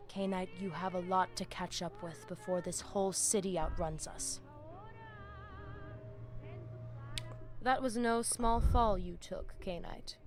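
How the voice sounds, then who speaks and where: A woman's voice: medium pitch, close to an everyday voice. Woman as solemn vampire pack leader. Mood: grave. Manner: grave, formal, stately phrasing. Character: solemn Spanish-speaking female vampire pack leader, fierce and loyal. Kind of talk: dramatic video game acting. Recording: clear background ambience.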